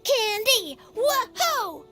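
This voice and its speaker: A woman voicing an excitable, eager small child in a video game, with music behind. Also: very high-pitched, drawn-out words, full character voice. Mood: gleeful. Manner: eager, bouncy. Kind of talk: English video game voice acting.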